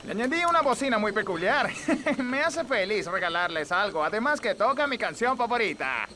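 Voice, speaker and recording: A man's voice: sits high, animated, moderate pace, noisy background. A man playing an eager, chatty merchant in a cartoon dub, with obliging, repetitive sales patter. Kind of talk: animated cartoon dub acting.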